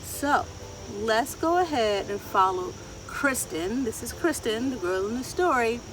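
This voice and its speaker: A middle-aged woman reading a picture book aloud to children, expressive and deliberate, often putting questions to her listeners. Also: fairly high pitch, music in the background. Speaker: children's storyteller. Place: outdoors in a wooded garden. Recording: outdoor, distant mic, ambient insect noise. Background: insects and outdoor ambience.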